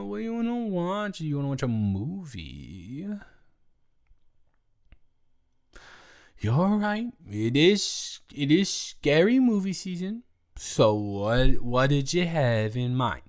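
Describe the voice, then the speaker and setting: A young man playing a playful drunk college roommate in an intimate audio roleplay, rambling, slurring, joking and repeating himself. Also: mid-range voice. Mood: coaxing, tipsy. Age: young adult.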